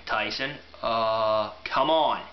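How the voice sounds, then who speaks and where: A man's voice: fairly low pitch, takes it slowly, pitched below the performer's own voice. A man reading the part of a stubborn, awkward young demigod boy in a storybook. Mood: urgent, awkward. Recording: echoey room, muffled, faint hiss.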